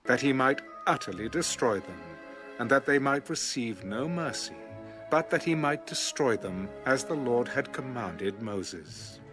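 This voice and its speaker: Male scripture narrator, mid-range voice, measured and formal delivery, with music clearly playing underneath. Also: mid-range voice, expressive. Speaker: audio Bible narrator. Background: background music.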